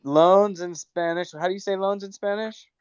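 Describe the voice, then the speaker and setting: A man's voice: sits high, fairly even intonation, normal speed, slight background noise. A man hosting a casual online audio chat, talking in a loose, rambling way with lots of fillers. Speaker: host. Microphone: remote call audio. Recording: dull lo-fi online call audio.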